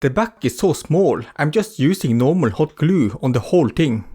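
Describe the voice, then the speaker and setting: A man's voice: on the high side, sing-song, moderate pace, mellow, breathy edge. A man giving an explanatory voice-over for a craft tutorial. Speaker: craft vlogger narrating a tutorial.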